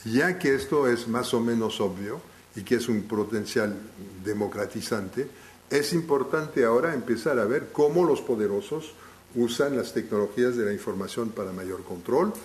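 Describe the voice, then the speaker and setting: A man who is not a native speaker of the language gives a live lecture to an audience. He talks in a halting way, restarting phrases often as he thinks aloud. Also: mid-range voice. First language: not Spanish.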